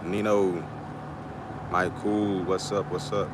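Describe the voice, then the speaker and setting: A man's voice: low-pitched, little pitch movement, slow, background noise. A middle-aged man from Trinidad with a Trinidadian accent, rambling bluntly and swearing freely on a casual livestream. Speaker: livestream host.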